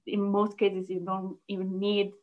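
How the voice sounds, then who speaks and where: A young woman answers interview questions in a thoughtful, halting way. She often restarts her sentences. Her voice sits at a middle pitch and comes through slightly muffled, lo-fi call audio. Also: monotone. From Italy. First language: Italian. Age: young adult. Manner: thoughtful, halting, with frequent restarts and light laughs. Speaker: guest, localization project manager.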